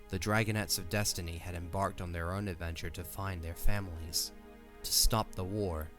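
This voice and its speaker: A man's voice: deep voice, a bit bright. A man giving scripted third-person narration for a fantasy audio drama. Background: faint music.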